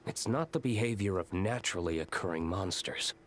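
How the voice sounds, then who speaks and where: An actor playing a cool, serious, analytical investigator in a video game, speaking formally and in measured phrases. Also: male voice, deep voice, animated, a little hiss or hum.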